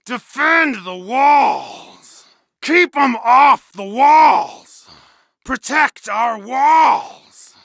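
A man voicing a savage, bloodthirsty berserker warrior in a video game, bellowing battle cries and growled threats in a gravelly voice. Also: extremely high pitch, animated, very slow, a bit bright, dry recording, studio quality, exaggerated voice. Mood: commanding. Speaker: game character voice. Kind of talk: video game combat voice lines. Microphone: close studio mic.

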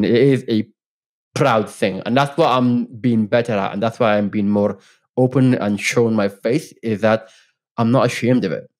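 A man from Northern Ireland speaking in a mixed accent of Northern Irish, Scottish and English tones, mid-pitched and conversational. He talks earnestly and openly as a guest on a video call podcast, recorded close on a studio mic at home. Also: a little mellow. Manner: earnest, open, frequent restarts. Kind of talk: casual interview conversation. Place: home room with bookshelves. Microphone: studio microphone on boom arm, headphones.

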